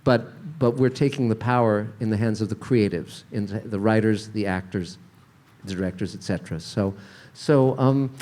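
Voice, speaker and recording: Halting older man hosting a panel. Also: lowish voice, warm tone. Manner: halting, many restarts and fillers. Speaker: panel host, showrunner. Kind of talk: casual panel talk. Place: convention panel room.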